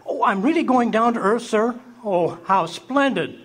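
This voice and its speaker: An actor playing a meek, eager apprentice angel who is timid, fussy and polite. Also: male voice, high-pitched, animated, measured pace. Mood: delighted. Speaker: radio theatre actor. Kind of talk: live amateur radio drama acting.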